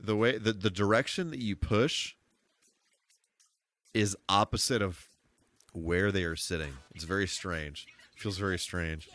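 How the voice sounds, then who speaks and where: A man's voice: low-pitched, unhurried, fairly bright tone. An American man giving casual, rambling, good-humored commentary on a gaming livestream. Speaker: live streamer. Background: game music.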